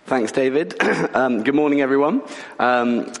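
Croaky preacher in a church hall. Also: male voice, mid-range voice, animated, unhurried, slight background noise. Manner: chatty and humorous, frequent throat clearing. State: croaky from a cold. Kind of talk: sermon. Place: church building.

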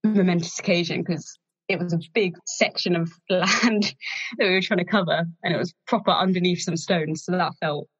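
Co-host: young woman, 20, low pitch, slightly dull, dry sound, giggly. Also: steady tone, normal speed, slightly rough, no room sound. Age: young adult. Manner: light, giggly, encouraging. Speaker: podcast co-host. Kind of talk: casual podcast chat.

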